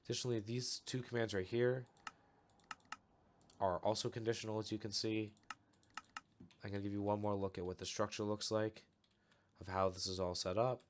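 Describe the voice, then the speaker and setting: A young man recovering from a cold, calmly walking through a step-by-step game tutorial with a slightly off voice. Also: fairly low pitch, moderate pace, long pauses, a little hiss or hum. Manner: relaxed, explanatory, steady walkthrough with fillers. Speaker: channel host giving a game tutorial. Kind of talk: casual screen-recorded tutorial.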